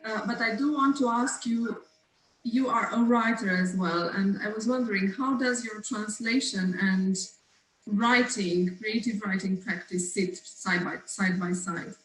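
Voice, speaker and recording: A woman's voice: mid-range voice, unhurried, fairly bright tone. A woman hosting a seminar, asking a guest polite, careful questions with some hesitation. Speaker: host. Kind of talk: interviewing a guest. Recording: echoey, distant mic with faint hum.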